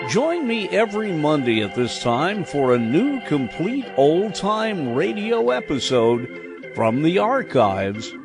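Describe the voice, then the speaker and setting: A man's voice: a little high, very animated, slow. A man presenting an old-time radio show with a scripted sign-off delivery. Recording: loud music over voice.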